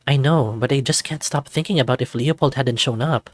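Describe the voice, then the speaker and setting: A troubled young male student, acted by a man, soft-hearted and anxious. He speaks hesitantly and confidingly in a husky, mid-range voice, as a visual novel character recorded dry. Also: mid-range voice, brisk, no echo, voice pushed up, lightly acted.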